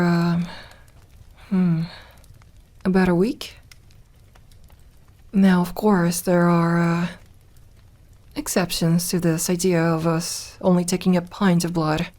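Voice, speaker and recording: Female actor as lonely vampire woman for ASMR roleplay: lowish, soft, mellow voice, gentle and wry, hesitant delivery. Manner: hesitant, soft-spoken, slow with pauses. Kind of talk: intimate ASMR audio roleplay.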